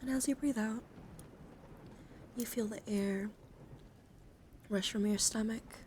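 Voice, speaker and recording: A woman livestreamer giving a guided ASMR relaxation in a gentle, soothing, encouraging manner. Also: on the high side, fairly even intonation, takes it slowly, slight background noise. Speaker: livestream host.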